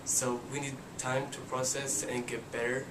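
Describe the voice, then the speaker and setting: A young man, answering interview questions as an international student in a soft-spoken, slightly halting way. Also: mid-range voice. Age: young adult. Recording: steady background noise.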